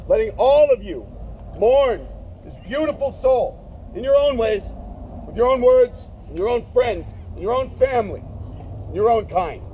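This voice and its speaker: A very high-voiced man gives a loud, emphatic eulogy in a casual, plain-spoken way. The sound is dull and lo-fi, with faint noise underneath. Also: expressive, a little slow. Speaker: memorial speaker. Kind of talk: eulogy at a gathering.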